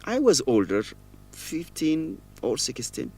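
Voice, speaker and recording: An emotional, animated troubled young student. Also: male voice, medium pitch, takes it slowly, husky, exaggerated voice. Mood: sorrowful, reflective. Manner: confessional, emotionally expressive. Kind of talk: educational radio drama acting.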